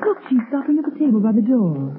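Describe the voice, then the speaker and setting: A high-voiced, lively society lady in an old radio thriller, inquisitive and full of concern, with swooping intonation. The 1930s recording is muffled. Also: fast talker, background noise. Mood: curious. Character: animated, inquisitive society lady, concerned sidekick to crime fighter. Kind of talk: 1930s radio drama acting.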